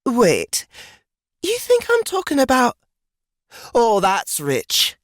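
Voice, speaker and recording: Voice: medium pitch, wildly swooping pitch, slow pace, dry recording, clean studio sound, pitched above the performer's own voice. A narrator voicing a brash, mocking, experienced woodswoman in a fantasy audiobook, blunt and amused.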